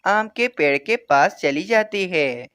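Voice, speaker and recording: Low steady woman narrator. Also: takes it slowly, smooth, no echo. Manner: plain, steady storytelling. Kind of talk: storybook narration.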